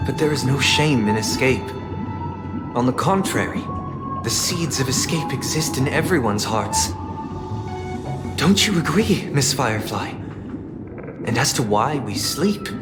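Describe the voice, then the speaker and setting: A man acting a calm, solemn leader in a video game, speaking in measured, grandiloquent rhetoric. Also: medium pitch, natural voice. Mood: solemn, persuasive. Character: calm, solemn, philosophical leader preaching compassion and pessimism. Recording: loud music behind the voice.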